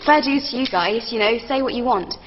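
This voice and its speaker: A woman's voice: on the high side, a little fast. A British woman in a studio audience, speaking up passionately and directly in a televised debate. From Britain. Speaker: audience member. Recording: dull TV audio, echoing studio, faint noise.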